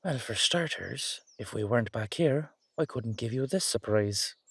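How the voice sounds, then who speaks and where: Casting: male actor as youthful Dullahan boyfriend, Irish accent, mid-pitched, affectionate, teasing, rambling; intimate roleplay audio, dry recording. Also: no room sound. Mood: warm, fond.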